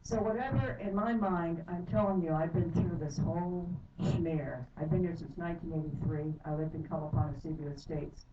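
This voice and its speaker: A low-voiced middle-aged woman speaks earnestly and with rising heat. Her testimony sounds dull and roomy, picked up from far off in a council chamber. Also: moderate pace, few pauses. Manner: earnest, heated, apologizes for anger. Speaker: public testifier. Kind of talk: public testimony at a council hearing. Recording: echoey hall from far off, dull and noisy.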